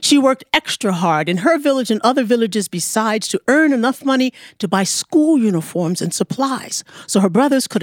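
A woman telling a folktale aloud as a storyteller, in measured, deliberate phrases. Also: mid-range voice, no room sound, clean studio sound. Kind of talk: live oral storytelling of a folktale. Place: performance studio before an audience.

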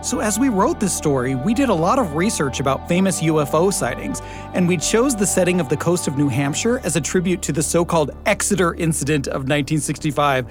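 A male podcast host with a fairly high voice. He narrates and chats in a presenter's scripted style, with clear music playing behind him. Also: expressive, brisk.

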